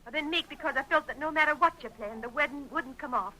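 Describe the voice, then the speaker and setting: A spirited young American bride from a ranch in a vintage radio Western. Her voice is high and very bright, with a tense edge. She speaks plainly and earnestly, in pleading melodrama style. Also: fast talker, low-quality recording. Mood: defiant, pleading. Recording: vintage radio drama recording.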